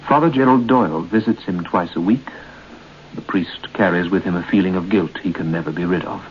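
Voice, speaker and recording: A man giving grave, measured scripted narration for an old-time radio horror series. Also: low voice, slight background noise. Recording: muffled old radio broadcast.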